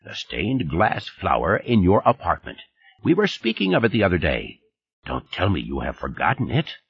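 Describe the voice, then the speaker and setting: An irritable old man, as a male narrator acts him out, husky and theatrical, his pitch swinging widely as he taunts. His phrasing is formal and his irony is heavy, in the manner of an audiobook character. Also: huge pitch swings, normal speed, dull audio, heavily acted. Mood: irritated sarcasm. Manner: ironic, taunting, rhetorical questions, formal unidiomatic phrasing.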